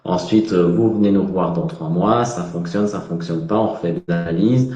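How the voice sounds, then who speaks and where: A man's voice: deep voice, moderate pace, dark tone. A man with a Belgian French accent, a guest on a live video talk, speaking with many hesitations and fillers. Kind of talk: casual live interview. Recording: echoey, dull audio.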